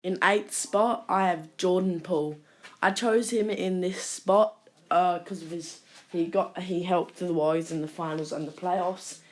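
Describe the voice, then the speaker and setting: Low, croaky-voiced woman ranking players. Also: fairly even intonation, a little slow. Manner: hesitant, many restarts and fillers. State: sore, croaky throat. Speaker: basketball channel host. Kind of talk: casual ranking commentary to camera.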